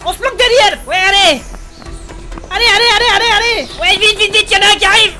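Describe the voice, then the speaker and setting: A woman's voice: very high voice, fast talker, over loud music. A woman playing an anxious, protective, blunt male adventurer who swears often in a French fantasy audio comedy. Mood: panicked.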